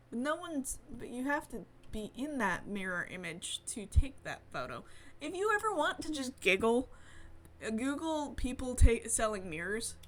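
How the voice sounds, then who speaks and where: A woman's voice: a little high, slight background noise. A woman reading a visual novel aloud expressively, adding playful, wry asides in casual commentary as a streamer. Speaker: let's-play streamer.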